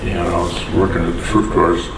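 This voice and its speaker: A man's voice: low voice, level tone, fast talker. An older man from Door County, Wisconsin, telling plainspoken stories as an interview guest in a community hall. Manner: plainspoken, chuckles at his own stories. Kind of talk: unhurried storytelling. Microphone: far from the mic. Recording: echoey hall from far off, noisy.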